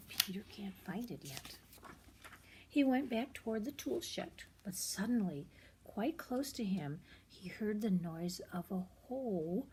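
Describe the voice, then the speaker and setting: An older woman reading a children's picture book aloud, expressive and often hushed, pausing for asides about the pictures. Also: mid-range voice, a little hiss or hum.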